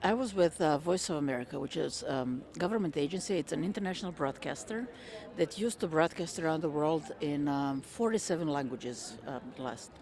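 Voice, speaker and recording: Woman from Croatia, measured speech. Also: low-pitched, lively intonation, a little mellow. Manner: measured, frequent ums and uhs. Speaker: interviewee, retired federal worker. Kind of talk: conversational interview answers. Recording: crowd chatter behind. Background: crowd chatter.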